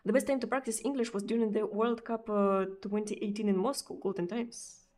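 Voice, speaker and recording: A woman's voice: medium pitch, steady tone, fairly quick, a little mellow. A Russian woman streamer speaking English with a Russian accent in casual chat, hesitant, with many fillers and repeated words. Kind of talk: casual chat answering viewers.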